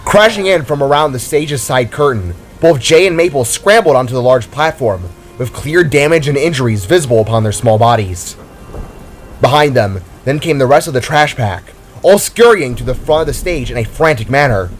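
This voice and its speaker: Guy narrating a dramatic story, average male pitch, rain and soft music behind. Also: lively intonation, normal speed. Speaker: author narrating his own story.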